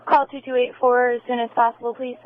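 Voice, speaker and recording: A woman dispatcher speaks in short, procedural bursts over a police radio. Her voice sits fairly high and comes through dry and very narrow, like a phone line. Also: level tone, fast talker, dry recording. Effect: two-way police radio. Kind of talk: terse radio dispatch, reading codes and numbers.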